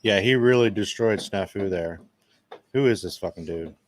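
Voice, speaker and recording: A man's voice: lowish voice, moderate pace. A man on a live stream who scolds the other speaker with contempt. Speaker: debate participant.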